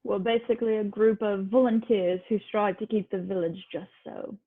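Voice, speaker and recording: A woman's voice: mid-range voice, steady tone, normal speed, close to an everyday voice. Tinny-sounding smug village businessman, played by a woman. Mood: smug, charming. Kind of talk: film script table read. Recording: tinny phone-like connection.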